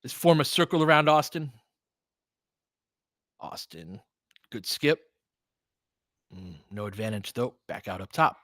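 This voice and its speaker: A man giving informal, partisan live basketball commentary as host, excitable, with whoops and casual swearing. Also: mid-range voice, measured pace, long pauses, no echo, clean studio sound.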